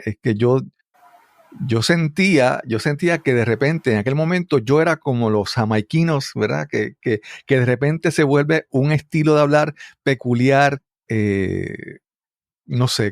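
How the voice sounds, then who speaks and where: A man's voice: mid-range voice, no echo, studio quality. A man hosting an interview, talking in a conversational, rambling way and often repeating words. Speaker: interview host.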